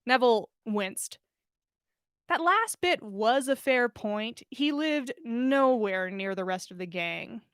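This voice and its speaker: A woman podcast host reads fiction aloud with expression. Her voice is high and very varied in pitch, and it sounds dry, with little room around it. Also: lively intonation, takes it slowly, a bit bright, smooth, no echo. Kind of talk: expressive story reading.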